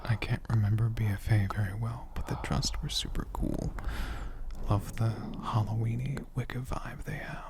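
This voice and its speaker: A man in a dragon persona, whispering softly and rambling fondly as he answers viewer questions for an ASMR video. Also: lowish voice, fairly even intonation, normal speed. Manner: soft-spoken, rambling, fond.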